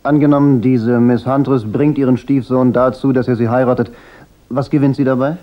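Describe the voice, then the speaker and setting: A man's voice: medium pitch, steady tone, a little fast, close to an everyday voice. A man acting a cool, laconic, insolent private detective in a German radio crime drama. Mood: cool, probing. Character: cool, wry, unflappable hard-boiled private detective. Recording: dull radio drama recording.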